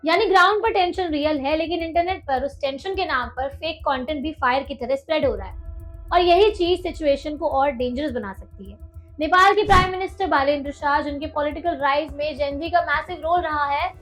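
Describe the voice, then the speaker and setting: A woman with an Indian accent and a distinctly high voice hosts a news explainer. Her scripted delivery is emphatic and dramatic, built on pointed phrasing. Faint music plays behind her. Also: fairly quick, a bit bright. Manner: dramatic, emphatic, posing rhetorical questions. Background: music bed.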